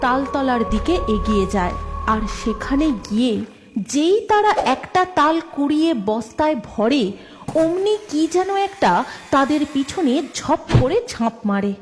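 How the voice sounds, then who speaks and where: Animated, high-voiced female storyteller. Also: measured pace, faint music behind. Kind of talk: scripted children's story narration.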